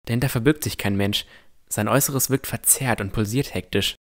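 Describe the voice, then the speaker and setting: A man's voice: lowish voice, a little fast. A man reading scripted first-person narration as the narrator of a fantasy audio drama.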